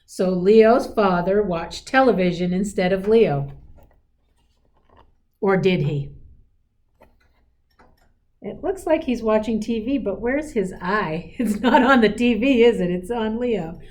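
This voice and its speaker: A woman reads a picture book to children at an unhurried pace, in a mid-pitched voice. She is expressive and emphatic on the story lines, then turns to chatty, encouraging asides. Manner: encouraging, explains pictures and asks listeners questions. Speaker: school superintendent reading to children.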